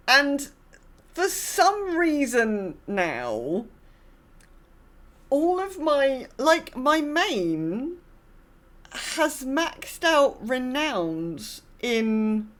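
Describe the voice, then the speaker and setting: A woman podcast host chatting casually about gaming, telling stories in a rambling, self-correcting way. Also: on the high side.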